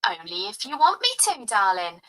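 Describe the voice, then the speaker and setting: A woman's voice: on the high side, big pitch swings, normal speed, pushed and bright. A synthetic woman's voice with a put-on Cockney accent, breezily explaining things to a user. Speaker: voice assistant. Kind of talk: chatty explaining.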